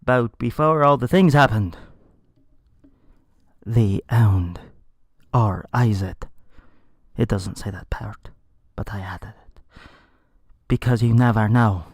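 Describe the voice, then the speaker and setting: A man's voice: lowish voice, slow, long pauses, no room sound, clean studio sound. A man reading a story aloud in a dramatic, comedic style with theatrical, emphatic delivery. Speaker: narrator reading a fan fiction story aloud.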